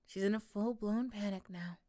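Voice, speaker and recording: Female narrator for ASMR roleplay. Scripted second-person storytelling, medium pitch, very dry sound. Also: normal speed, warm tone, dry recording.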